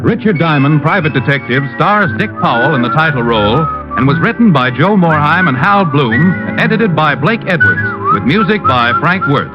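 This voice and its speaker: A man announces in 1950s radio style, formal and polished. His voice sits fairly high and moves with lively emphasis. Music plays clearly behind him on the muffled old recording. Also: moderate pace, few pauses.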